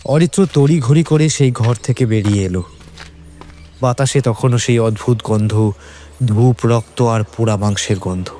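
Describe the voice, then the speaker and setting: A man's voice: mid-range voice, normal speed, warm tone, a little hiss or hum. A man narrating a scripted horror story in a dramatic storytelling style. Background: background music.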